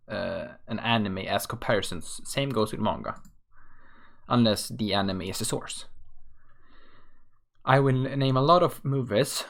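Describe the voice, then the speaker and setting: A man's voice: medium pitch, fairly bright tone. A young man from Sweden with a Swedish accent, reading a self-mocking solo rant from a script as host of his own show. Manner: offhand, self-mocking, reads script aloud. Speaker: podcast host.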